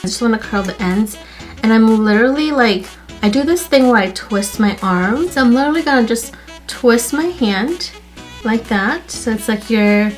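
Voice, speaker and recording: Chatty stuffy-nosed woman, higher voice. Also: expressive, moderate pace, soft background music, low-quality recording. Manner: chatty, friendly, repeats "literally" and "like". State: stuffy nose. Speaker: beauty YouTuber. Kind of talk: casual hair tutorial.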